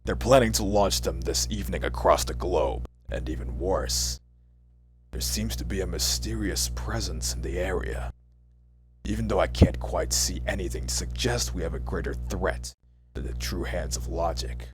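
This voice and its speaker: A man plays a loyal scout in a superhero audio drama. His voice is deep, serious and measured, with faint music underneath. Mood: grave. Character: grave, loyal male superhuman scout, long absent. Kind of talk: amateur audio drama acting.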